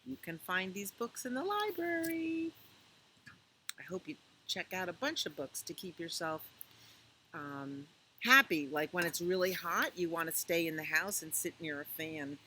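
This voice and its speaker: A woman hosting a library storytime for young children, reading and chatting in a gentle, conversational way with questions for her listeners. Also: fairly low pitch, expressive, unhurried, a little hiss or hum, poor audio. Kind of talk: children's picture book read-aloud and chat.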